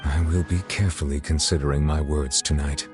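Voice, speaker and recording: A man's voice: very deep voice, steady tone, a little fast, a little mellow, faint music behind. A man playing a grave, dutiful official in a video game, speaking formally and courteously. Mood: solemn.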